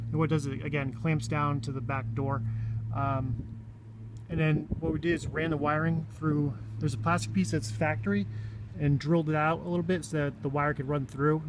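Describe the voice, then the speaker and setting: Higher-pitched man, casual and noisy walk-around. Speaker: vlogger showing his own vehicle radio install. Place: in and around his parked vehicle. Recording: noisy handheld recording around a vehicle.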